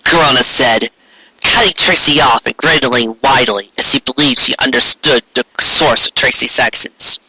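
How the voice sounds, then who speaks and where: A man narrating a story in an expressive, dramatic storybook style, with the odd aside. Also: medium pitch, moderate pace, husky, no room sound, poor audio. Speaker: fan fiction reader.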